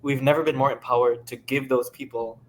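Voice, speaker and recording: A man's voice: medium pitch, fairly even intonation, brisk, low-quality recording. A man speaking earnestly and upbeat as a producer on a casual panel discussion. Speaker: audio drama producer and panelist. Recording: faint background noise.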